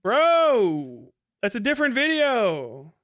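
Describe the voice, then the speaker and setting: A man's voice: very high-pitched, wildly swooping pitch, takes it slowly, a bit bright. A man hosting a casual livestream commentary, swearing heavily in an exasperated, incredulous way. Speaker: livestream host.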